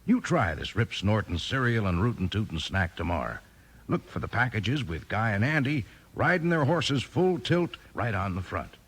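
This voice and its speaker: An actor playing a folksy cowboy pitchman in a 1950s radio advertisement, husky and enthusiastic as he sells to children. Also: very animated, moderate pace, a bit bright, exaggerated voice. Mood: upbeat, folksy. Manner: folksy, bouncy sales talk.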